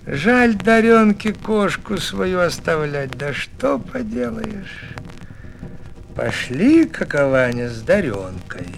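A man's voice: on the high side, vocal fry, slight background noise. A man narrating a folk tale expressively, in a folksy, theatrical storyteller's way.

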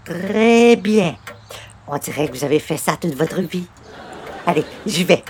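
A woman's voice: fairly low pitch, expressive, measured pace, darkish tone, slight background noise. An actress playing a pious, naive woman visitor in a French radio comedy, speaking earnestly and solemnly. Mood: earnest, eager.

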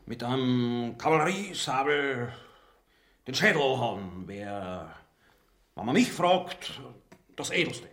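A male actor playing a proud, bloodthirsty army captain in a radio farce, declaiming deliberately. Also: mid-range voice, very animated, fairly bright tone, reverberant. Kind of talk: farcical radio play acting.

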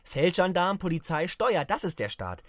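An actor playing a simple, stubborn young soldier in a radio play, blunt, naive and indignant. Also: male voice, sits high, big pitch swings, normal speed, no echo, clean studio sound. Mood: defiant, scornful.

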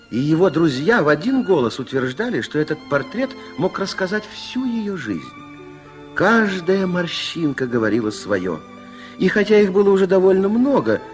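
A man narrating a children's fairy tale as a storyteller in a radio play. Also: a little high.

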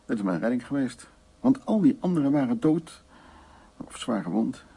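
Husky, gravelly older man. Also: medium pitch, very animated, moderate pace, warm tone, exaggerated voice. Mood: intense, grave. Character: older Dutch man recalling wartime, frank and dominant. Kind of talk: radio play acting, intimate reminiscing.

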